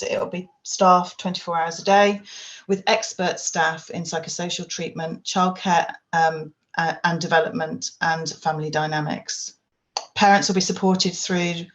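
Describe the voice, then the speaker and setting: A woman, a charity chief executive, giving a measured webinar presentation from prepared remarks. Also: on the low side, rough recording.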